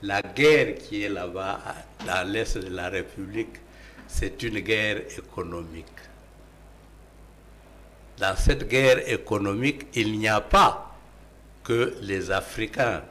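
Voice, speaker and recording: A man's voice: on the low side, takes it slowly, long pauses, a bit bright. A man with a Congolese accent, arguing emphatically as a panelist in a political debate and posing rhetorical questions.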